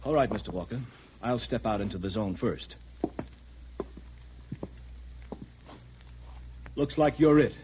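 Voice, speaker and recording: Man as brisk, guarded camp security officer, lowish official voice, noisy vintage radio drama. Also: animated, lightly acted. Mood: urgent. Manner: clipped, official, polite. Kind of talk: 1950s radio drama acting. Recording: noisy, dull vintage 1950s radio recording.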